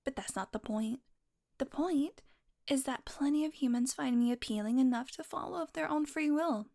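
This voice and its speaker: Teasing predatory vampire lady, woman voicing her, kinda high voice, slips into whispers, audio roleplay. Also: normal speed, vocal fry, dark tone. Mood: smug. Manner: playful, mocking, drifts into whispers.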